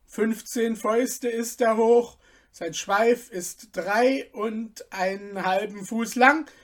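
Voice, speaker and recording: A shrewd merchant speaks in a raised, measured voice, as a storyteller puts him on, laying out every detail with quiet mockery. He is unhurried and sly. The storybook reading moves slowly. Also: mid-range voice, pitched above the performer's own voice, close to an everyday voice. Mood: boastful. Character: sly shrewd middle-aged male merchant.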